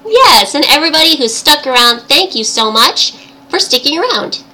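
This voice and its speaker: A woman's voice: high voice, very animated, normal speed, pushed and bright. A woman with a tiny, girlish, cartoon-princess voice hosts a casual livestream chat in a cheerful, giggly, chatty way. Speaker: livestream host. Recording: livestream audio with background noise.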